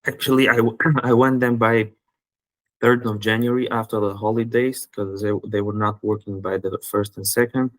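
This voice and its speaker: A man's voice: fairly low pitch, steady tone, normal speed, no echo. A man from North Macedonia answering interview questions in short, hesitant replies. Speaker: guest, student seller.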